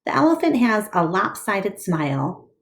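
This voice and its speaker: A woman teacher reading a storybook aloud to her class with expressive narration. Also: medium pitch, unhurried.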